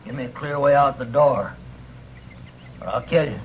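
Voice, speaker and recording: A man's voice: medium pitch, moderate pace, lightly acted. A man playing a desperate, threatening gunfighter in an old radio western. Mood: desperate, menacing. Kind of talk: 1950s radio western acting. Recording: tinny, noisy old radio recording.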